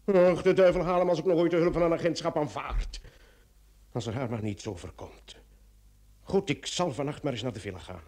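An actor playing a hot-tempered police commissioner in a Dutch radio play, with a sarcastic, blustering manner. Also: male voice, fairly high pitch, fairly quick, darkish tone, natural voice. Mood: alarmed, exasperated.

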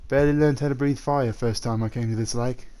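Male actor as possessive dragon suitor in human form, mid-pitched, gently coaxing, halting, for roleplay audio drama. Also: fairly even intonation, lightly acted. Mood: eager, fond. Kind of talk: roleplay ASMR audio drama, addressing the listener.

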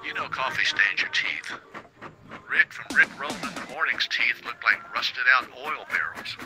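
A man's voice: medium pitch, lively intonation, moderate pace, over music, natural voice. A man playing a wry, griping small-town radio producer in a quirky radio drama game, chatty, sarcastic and teasing. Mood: gossipy.

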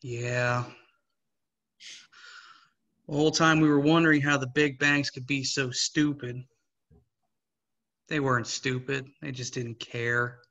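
A young man voicing a weary, disillusioned male investor, with quiet, resigned delivery at a table read over a video call. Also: medium pitch, fairly even intonation, slow, long pauses. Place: small home room. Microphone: webcam on a video call. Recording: slightly muffled webcam audio.

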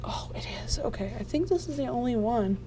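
High-voiced young woman, noisy room. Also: lively intonation, a little fast. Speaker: vlogger browsing an auction preview. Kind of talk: casual chat. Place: large bare indoor hall. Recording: noisy room ambience, action-camera sound.

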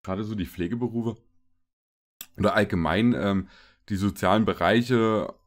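A man's voice: fairly low pitch, moderate pace. A young man streaming a casual reaction to a video, rambling and chatty with jokey asides.

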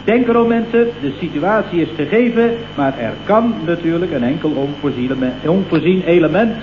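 A man's voice: a little high, animated, brisk, background noise, lightly acted. An actor playing an eager, deferential male subordinate, earnest and apologetic, in old radio comedy style. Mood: apologetic, explaining. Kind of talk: 1970s Dutch radio comedy acting. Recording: tinny, echoey old radio recording.

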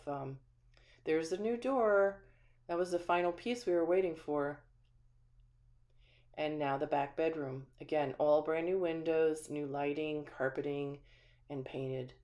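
A woman's voice: deep voice, dark tone. A woman managing a manufactured home community, giving a friendly, chatty walkthrough of a renovated house with frequent ums. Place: empty small house, indoors, then voice-over.

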